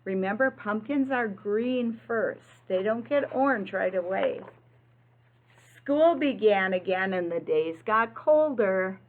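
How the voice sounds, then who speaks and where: Woman, children's read-aloud, unhurried pace, simple teacherly phrasing, questions to little listeners, thin lo-fi recording. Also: mid-range voice, animated, slight background noise. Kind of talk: children's picture-book read-aloud with asides to young listeners.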